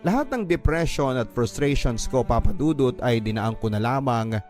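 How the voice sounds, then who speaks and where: A man's voice: mid-range voice, animated, normal speed, a little mellow. A man narrating a first-person story in a sentimental, dramatic radio drama style. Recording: music bed behind the voice. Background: music.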